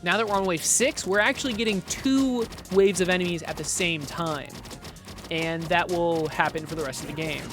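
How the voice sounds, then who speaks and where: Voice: mid-range voice, normal speed, a bit bright, soft background music. A game developer giving casual gameplay commentary, explaining things step by step and correcting themselves along the way. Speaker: game developer demoing own game.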